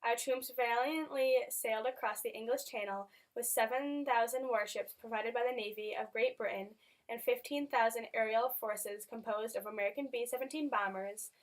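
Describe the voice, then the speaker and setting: A woman's voice: a little high, fairly even intonation, normal speed, rough recording. A woman reading out a scripted newsreel about history. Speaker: student newsreel narrator. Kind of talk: scripted newsreel narration, read aloud.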